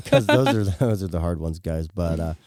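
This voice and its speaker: Deep-voiced man chatting casually, mellow tone. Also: expressive, normal speed, no room sound, studio quality. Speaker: podcast co-host. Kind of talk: casual chat with listeners.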